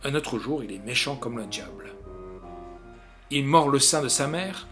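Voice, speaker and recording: A man narrating a literary short story in an expressive, theatrical way with deliberate phrasing. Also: medium pitch.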